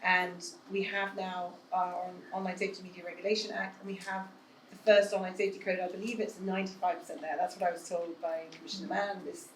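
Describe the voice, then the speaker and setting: A woman talks earnestly on a live panel with a low voice, often pausing on fillers. She holds a handheld mic, and the room rings with noticeable echo under a faint ambient hum. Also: level tone. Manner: earnest, measured, with many hedging fillers. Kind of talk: panel discussion. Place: event room with an audience.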